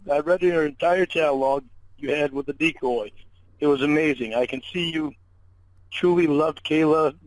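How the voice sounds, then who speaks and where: Animated, higher-voiced man on a casual call. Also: dry recording. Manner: animated, amused, frequently exclaims. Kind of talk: casual phone conversation. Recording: phone call recording.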